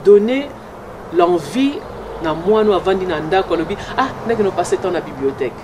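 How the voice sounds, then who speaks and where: A middle-aged woman from Congo with a Congolese French accent and a slight North American tinge, talking animatedly and switching between French and Lingala in a street interview. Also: normal speed. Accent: Congolese French with a slight North American English tinge. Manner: animated, emphatic, pitch jumps high when insisting. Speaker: pageant runner-up interviewed about her project. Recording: loud street noise with passing vehicles. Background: traffic and street noise.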